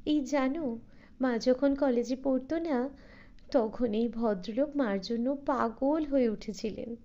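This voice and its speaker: A woman's voice: high-pitched, takes it slowly, mellow, highs cut off. A woman voicing a playful young adult daughter who teases her family. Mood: teasing. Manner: teasing, playful.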